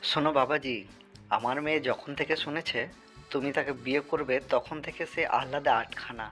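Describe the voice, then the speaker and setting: A man's voice: mid-range voice, fairly even intonation, brisk, a bit bright, faint music behind, lightly acted. A man voicing a crafty, protective father in a folktale cartoon, blustering and exclamatory. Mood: scheming. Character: crafty, blustering father guarding his daughter. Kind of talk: Bengali children's folktale cartoon acting.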